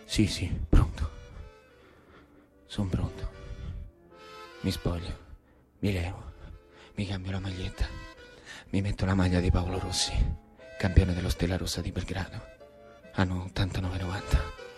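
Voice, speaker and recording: A man with a Roman accent voicing a shy schoolboy in a radio monologue, muttering in a hushed, intent way. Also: low voice, monotone, unhurried, pauses often, over music, pitched below the performer's own voice. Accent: Roman Italian. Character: shy schoolboy quietly readying himself to play football. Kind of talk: radio monologue character acting.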